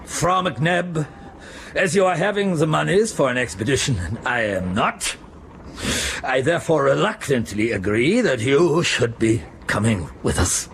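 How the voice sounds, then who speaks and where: An actor playing a blustering, pompous German professor uncle with a German accent in a radio comedy-adventure, exclaiming and sputtering. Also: male voice, medium pitch, expressive, unhurried, gravelly, a bit bright. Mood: grudging, insistent. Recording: noisy radio broadcast with ambient effects.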